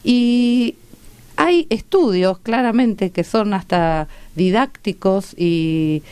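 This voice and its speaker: A woman's voice: medium pitch, lively intonation, slow, dark tone, clear, resonant tone, no echo. A woman with an Argentine Rioplatense accent, a guest doctor explaining health advice conversationally in a radio interview, often checking in with short tag questions. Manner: didactic, checks in with rhetorical tags. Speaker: guest doctor and medical researcher.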